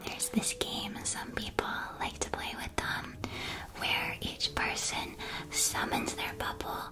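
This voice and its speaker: Woman playing a softly whispering friendly witch shopkeeper. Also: mid-range voice, natural voice. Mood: gentle, chatty. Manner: gentle, welcoming, unhurried whispering. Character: friendly witch shopkeeper of a magical sweets shop. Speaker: ASMR performer. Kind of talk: ASMR roleplay.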